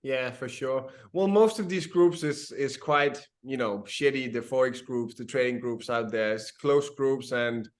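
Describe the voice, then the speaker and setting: A man's voice: medium pitch, a little slow, few pauses. A male interviewer with a middle-range voice keeps things friendly and casual. He asks loose questions and drops in easygoing slang. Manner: friendly, casual, calls the guest "man".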